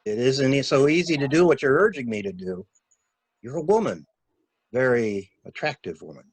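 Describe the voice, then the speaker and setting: A man's voice: mid-range voice, expressive, moderate pace, dry recording, natural voice. A man playing a talkative, flustered stranded engineer with a polite, stammering, theatrical manner in a murder mystery reading. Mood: probing. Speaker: actor in an amateur library reading.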